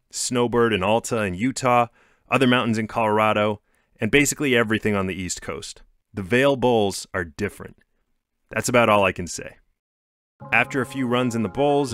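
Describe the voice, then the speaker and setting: A man's voice: fairly low pitch, fairly bright tone. A young American man narrating his ski trip vlog in an upbeat, informative voice-over. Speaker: vlogger. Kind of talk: scripted travel narration. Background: light background music.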